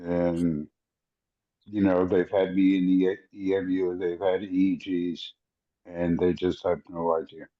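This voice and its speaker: Older male guest, deep and dark, relaxed and musing; slightly muffled recording. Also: measured pace. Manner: reflective, easygoing, trailing off. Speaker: podcast guest. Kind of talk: casual conversation. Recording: dull lo-fi audio.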